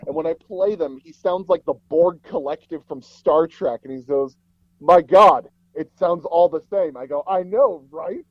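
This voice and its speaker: A man telling anecdotes casually as an interview guest, animated and acting out bits of dialogue as he goes. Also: a little high, unhurried, dry recording, dull audio. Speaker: guest, voice actor and audio drama producer.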